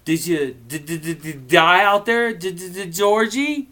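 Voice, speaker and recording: A man's voice: high-pitched, a little slow, sharp tone, voice pushed up. A man voicing a bossy, exasperated boy, an impatient big brother scolding in a storybook reading. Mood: calling out.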